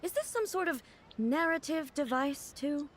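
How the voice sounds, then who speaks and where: An actress playing a dignified, composed noblewoman in a video game, speaking in a measured, formal, earnest way. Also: high voice, lively intonation, heavy background noise. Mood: earnestly puzzled.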